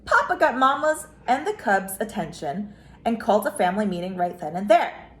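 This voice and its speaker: A woman's voice: mid-range voice, very animated, moderate pace. A woman reading a children's picture book aloud as a storyteller, expressively, drawing out key words for emphasis.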